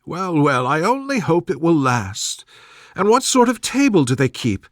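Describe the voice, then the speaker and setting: Guy reading as a fussy middle-aged mom from a storybook, high pitched, swoopy, complaining and self-pitying. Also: sing-song, unhurried, dry recording, studio quality. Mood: resentful, sniping. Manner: exclamatory, complaining. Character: fretful, resentful middle-aged mother, gossipy and self-pitying.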